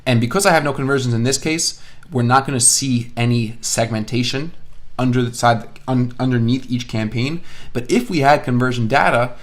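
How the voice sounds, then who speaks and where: A man instructing an online course in an informal screencast style, explaining as he thinks aloud and repeats phrases for emphasis. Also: medium pitch. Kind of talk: informal screencast software tutorial.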